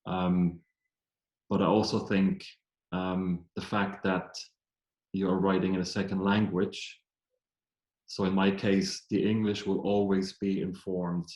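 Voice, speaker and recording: Slow, low-voiced Swiss German man. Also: flat delivery, a little mellow, roomy sound, poor audio. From German-speaking Switzerland. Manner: hesitant, many ums and restarts. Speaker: poet. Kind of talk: reading event talk.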